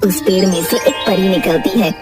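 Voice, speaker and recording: A woman's voice: medium pitch, normal speed, far from the mic. A woman reading a scripted children's story as narrator, with music playing behind her. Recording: music under the voice.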